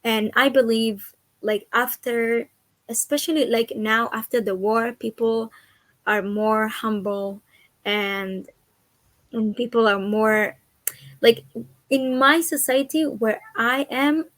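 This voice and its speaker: Young woman from israel talking earnest in an interview, kinda high voice, feels for words. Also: fairly even intonation, slow pace, fairly bright tone, no echo. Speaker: guest, activist and educator. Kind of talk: conversational interview.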